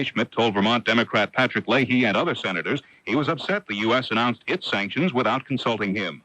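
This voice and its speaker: An American male news reporter delivers a scripted radio report in a bright, forceful voice. The sound is dry and close, with the character of an early 1980s AM radio broadcast. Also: mid-range voice, no echo, low-quality recording.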